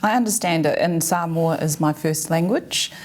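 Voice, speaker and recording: Low-voiced New woman. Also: moderate pace, a little mellow. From New Zealand. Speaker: guest, Samoan language learner.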